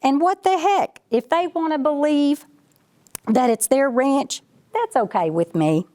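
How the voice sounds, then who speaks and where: A self-important ranch dog from a children's storybook, read by a woman. The delivery is unhurried, with pitch that moves up and down a lot as the dog brags and explains things to the listener in a folksy, chatty way. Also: darkish tone. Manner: bragging, chatty, addressing the listener directly.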